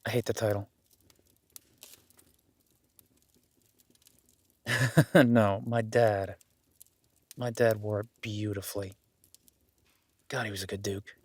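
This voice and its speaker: A man's voice: fairly low pitch, slow pace, long pauses, breathy edge, no room sound. Man acting flustered, kindly werewolf duke, dry close recording. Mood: bashful, self-deprecating. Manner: flustered, stammering, apologetic, informal. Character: awkward, kindly young werewolf duke, male, self-deprecating soldier. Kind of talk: roleplay audio drama, addressing the listener.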